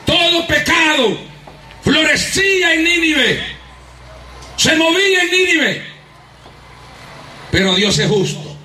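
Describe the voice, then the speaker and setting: A man's voice: very high voice, lively intonation, takes it slowly, long pauses, bright, forceful tone, a little hiss or hum. A man with a Honduran accent preaching a fervent evangelical sermon, emphatic and often laughing as he calls out for praise and applause. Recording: echoey church hall miked from a distance.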